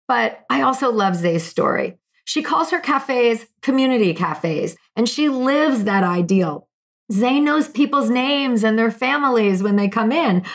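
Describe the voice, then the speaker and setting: A woman hosting a business podcast, speaking with enthusiasm and lots of "you know" as she introduces and interviews a guest. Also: on the high side. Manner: upbeat, enthusiastic, uses fillers like "you know". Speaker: podcast host. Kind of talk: scripted intro then casual interview.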